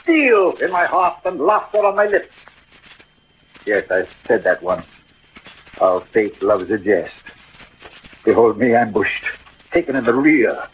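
A man's voice: medium pitch, big pitch swings, slight background noise. An actor playing a proud, defiant, poetic dying swordsman in theatrical, declamatory 1940s radio verse style. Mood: wry, fading. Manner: declamatory, grandiloquent, dramatic pauses. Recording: muffled vintage radio recording, music behind.

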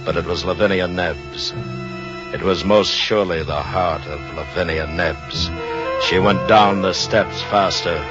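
Grave spooky radio narrator, deepish and dramatic, dull old recording with music behind. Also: male voice. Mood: urgent, mounting. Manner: dramatic, deliberate, urgent repetition. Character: grave, suspenseful narrator of a horror tale.

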